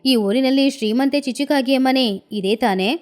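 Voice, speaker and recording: A woman's voice: sits high, moderate pace, a little mellow, faint music behind, lightly acted. A woman voicing a pompous, self-assured male peacock landlord in a children's cartoon story. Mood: grand, formal.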